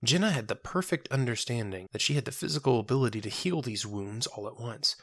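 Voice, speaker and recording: A narrator reading a scripted audio drama in a measured, solemn, dramatic manner. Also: mid-range voice, a bit bright, no echo, clean studio sound.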